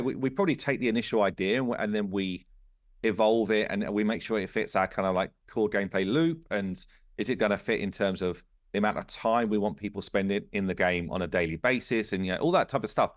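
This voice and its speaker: A man speaking as a game studio co-founder in a casual podcast conversation, telling things in an animated way and voicing quoted remarks. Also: on the low side, brisk, no echo.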